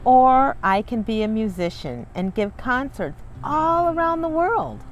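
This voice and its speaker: A woman's voice: on the high side, lively intonation, measured pace, a little hiss or hum, rough recording. A woman hosting a children's read-along, reading aloud and talking to viewers in an encouraging way. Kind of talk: storybook read-aloud with warm asides to viewers. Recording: music behind the voice. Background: background music.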